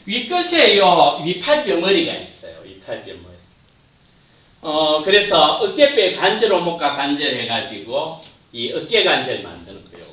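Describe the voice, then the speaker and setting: Male lecturer, lively pitch, muffled distant room recording. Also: a little slow, roomy sound. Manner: points at slides, trails off at phrase ends. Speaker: anatomy lecturer. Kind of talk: classroom lecture.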